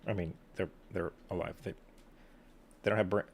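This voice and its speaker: A man's voice: sits low, fairly even intonation, normal speed, gravelly, breathy edge. A man giving casual, skeptical reaction commentary over a video game, in an offhand way. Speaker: gamer commenting on a story quest playthrough.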